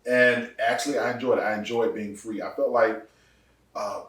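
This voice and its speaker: A man in his forties, a pastor, telling his testimony in a casual podcast interview, laughing often and jumping up in pitch for punchlines. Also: normal speed, far from the mic. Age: 40s. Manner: animated storyteller, laughs often, rises sharply for punchlines. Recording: echoey room, voice off-mic.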